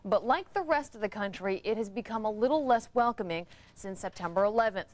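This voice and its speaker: A woman anchor introducing a report in a TV news studio, composed and clear. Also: medium pitch, animated, a little fast.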